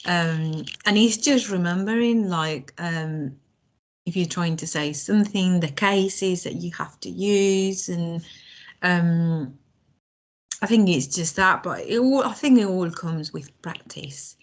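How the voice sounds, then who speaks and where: Guest voice: young woman, unhurried, lowish voice, halting speech full of ums. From Spain. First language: Spanish. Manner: hesitant, many fillers and restarts. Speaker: language student guest. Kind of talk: casual podcast conversation.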